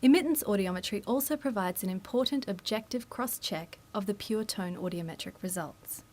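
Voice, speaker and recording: A woman delivers scripted educational narration. Her voice sits at an average pitch, and she explains each step formally and methodically, like the narrator of a training video. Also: brisk, darkish tone.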